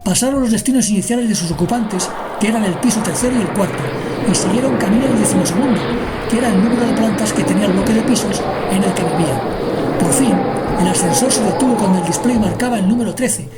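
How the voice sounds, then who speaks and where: A woman's voice: mid-range voice, fast, heavy background noise. A woman narrating a scripted radio drama as the storyteller. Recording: music behind the narration.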